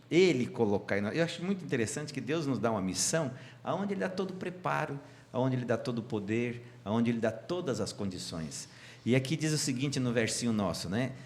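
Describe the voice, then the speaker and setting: A man from Brazil leading a Sabbath school lesson on a church channel, chatty and joking with his co-hosts. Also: medium pitch. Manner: chatty and warm, sending greetings and joking. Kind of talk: casual Bible study chat.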